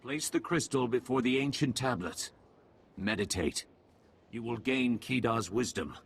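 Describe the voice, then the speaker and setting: A man voicing a grave, calm alien mystic in a video game, giving terse, ominous pronouncements in a husky, creaky voice. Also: medium pitch, unhurried, heavily acted. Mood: instructing. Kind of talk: video game cutscene acting.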